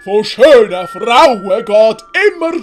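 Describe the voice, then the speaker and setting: A boastful military captain acted by a woman in a comic commedia audio play, speaking slowly and grandly. He bosses and commands with great self-importance, in a Swiss German accent, over light music. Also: fairly high pitch, expressive, darkish tone. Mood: pompous. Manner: pompous, drawn-out, commanding. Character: pompous, boastful, bossy male Spanish military captain.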